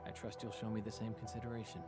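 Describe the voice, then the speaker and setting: Low, measured game comrade, played by a man, loud music. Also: completely flat delivery, natural voice. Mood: calm, firm. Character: measured, perceptive comrade confronting an obsessed ally. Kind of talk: dramatic video game acting.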